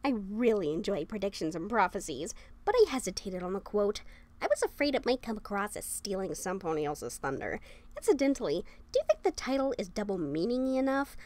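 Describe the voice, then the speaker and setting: Man putting on an earnest, high-voiced girl pony. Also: big pitch swings, a little fast, a little mellow. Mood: shy, earnest. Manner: earnest, bookish, easily flustered. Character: nervous, eager young female pony writer, bookish. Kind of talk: dramatic fan fiction reading.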